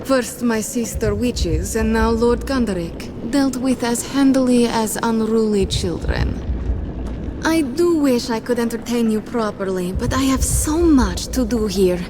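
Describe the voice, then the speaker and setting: A genteel video game lady of the manor, acted by a woman, with a fairly high voice. She is polished and playfully courteous, with coy condescension. Noticeable ambient noise sits behind her. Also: unhurried, lightly acted. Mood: amused, coy. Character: genteel, coyly mocking lady of a gloomy manor. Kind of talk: video game character acting.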